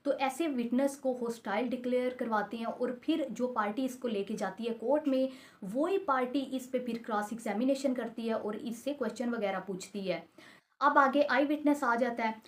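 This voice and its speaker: A woman lecturer speaks in a fairly high voice with a Pakistani accent. She explains in a didactic style, restating each point and working through examples. English technical terms are mixed into her sentences. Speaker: law lecturer.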